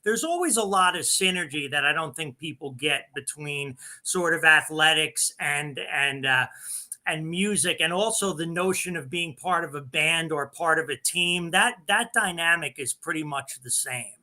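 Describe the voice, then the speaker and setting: A man hosts a music talk show with a very bright, forward voice, pitched a bit high. He asks long, winding questions in a friendly way and shares his own stories. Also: lively intonation, normal speed. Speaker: radio show host. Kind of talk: interview questions.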